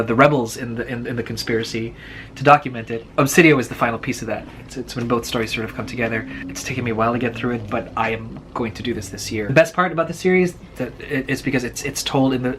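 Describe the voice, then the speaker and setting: A man chatting casually about books in a slangy, wry, halting way, often doubling back on his words. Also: medium pitch, a bit bright, slight background noise. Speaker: co-host of a book vlog. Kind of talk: casual book chat.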